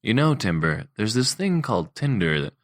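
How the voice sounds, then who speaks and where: A man's voice: on the low side, big pitch swings, normal speed, dry recording, clean studio sound, voice pushed up. A male narrator voicing a crude, mocking older brother. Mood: teasing. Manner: mocking, cocky.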